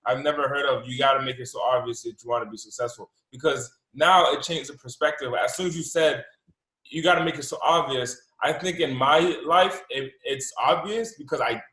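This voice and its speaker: A man hosting an interview, enthusiastic and repeating his points with halting restarts. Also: mid-range voice, bright, forceful tone. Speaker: podcast host. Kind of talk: interview conversation. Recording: roomy echo.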